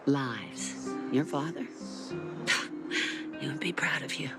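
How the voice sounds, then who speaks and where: An actress playing a gentle, proud older mother who speaks in a soothing, motherly way. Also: extremely low pitch, slow pace. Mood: consoling. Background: game music.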